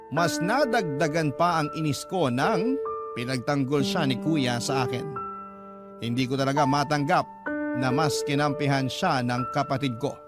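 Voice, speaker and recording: A man narrating a first-person story as a radio drama host, in a scripted storytelling style. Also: on the high side. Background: loud background music.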